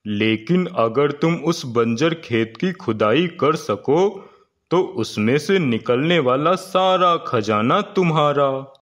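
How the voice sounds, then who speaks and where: A man's voice: fairly high pitch, expressive, slow. A man voicing a scheming, falsely kind village landlord with a patronizing, coaxing manner in a cartoon. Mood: persuasive. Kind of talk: Hindi moral-story cartoon dub.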